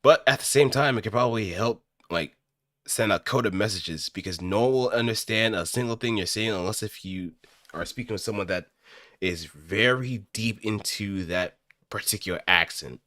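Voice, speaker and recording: A man chatting casually as a streamer, talking in an animated, rambling way. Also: lowish voice, moderate pace, dry recording, clean studio sound. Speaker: streamer practicing voice acting. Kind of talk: casual livestream chat.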